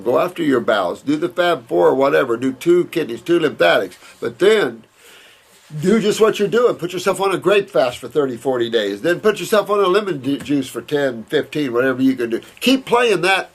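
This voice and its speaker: A man's voice: fairly high pitch, vocal fry, slightly rough. An older man, a naturopath, talks casually and rambles as he reads out viewer letters and answers them with emphatic advice. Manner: rambling, emphatic, repeats words and restarts.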